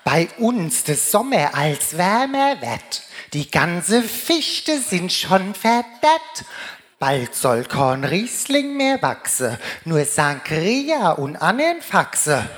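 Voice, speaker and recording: This is a woman with a low voice and a Rhine-Hessian German accent. She gives a humorous carnival speech in dialect. She declaims it at a slow pace, in sing-song rhyming couplets. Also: sing-song. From Germany, Rheingau region. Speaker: carnival speaker.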